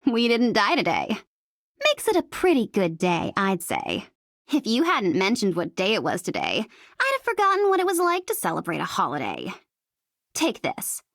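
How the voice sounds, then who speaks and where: Cocky merc girl from a video game, sarcastic n taunting, kinda bloodthirsty, mid pitch, close dry sound. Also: wildly swooping pitch, normal speed, vocal fry, a bit bright, no room sound, full character voice. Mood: wry, playful. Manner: sarcastic, taunting, teasing delivery. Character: sardonic, cocky, bloodthirsty female mercenary, playfully menacing. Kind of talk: video game character voice lines.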